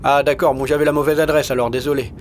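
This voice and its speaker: A man's voice: a little high, little pitch movement, fast talker, a little hiss or hum, natural voice. A man voicing a polite courier addressing customers in an audiobook, in an everyday manner. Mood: apologetic. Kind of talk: acted dialogue in an audiobook.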